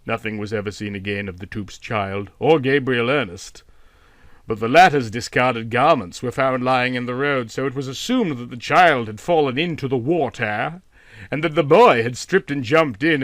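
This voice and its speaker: A man's voice: mid-range voice, normal speed, fairly bright tone, no room sound, clean studio sound. A man reading a literary short story aloud as an audiobook narrator.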